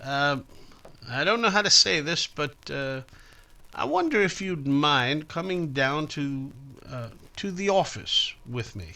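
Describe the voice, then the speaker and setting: A man playing a hesitant, kindly small-town police chief, halting and apologetic, in an old-time radio drama. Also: on the high side, lively intonation, bright, forceful tone, exaggerated voice. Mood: awkward.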